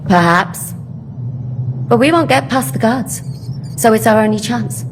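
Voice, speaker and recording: A woman playing a brisk, sardonic medieval conspirator in a video game, speaking in a dry, practical manner. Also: a little high, music in the background, lightly acted. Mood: practical, urgent. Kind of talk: cinematic video game acting.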